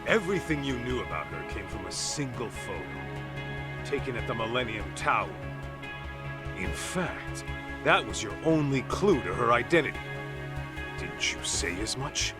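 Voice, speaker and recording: An actor playing a tough, hot-tempered middle-aged investigator in a dramatic video game cutscene, speaking in a blunt, confrontational way. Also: male voice, mid-range voice, sing-song, normal speed, over loud music, natural voice. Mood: accusing.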